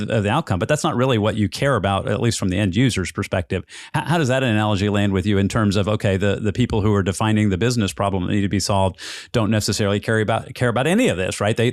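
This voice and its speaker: A man's voice: on the low side, dry recording, studio quality. A man hosting a conversational podcast interview, explaining through extended analogies and checking in with rhetorical questions. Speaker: podcast host interviewing a guest.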